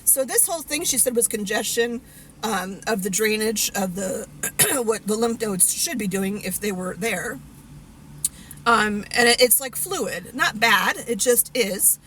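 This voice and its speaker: A woman's voice: mid-range voice, animated, a little slow, a bit bright, slight background noise, low-quality recording. A woman in her fifties talking casually to the camera, candid and rambling with many fillers and restarts. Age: 50s. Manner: candid, laughs at herself. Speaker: vlogger.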